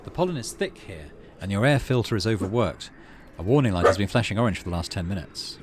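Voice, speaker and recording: A man with a fairly low voice plays through a game and reads its text aloud. His intonation swings widely as he moves between measured narration and muttered asides. There is clear noise behind him. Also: lively intonation. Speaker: gaming channel host. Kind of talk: casual game playthrough, reading on-screen text aloud. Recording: noticeable background noise.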